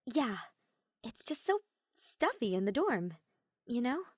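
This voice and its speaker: A woman's voice: on the high side, very animated, slow, a little mellow, dry recording, clean studio sound, pitched above the performer's own voice, exaggerated voice. A woman voicing an earnest, cheerful teenage girl pianist who speaks eagerly and gets a little flustered.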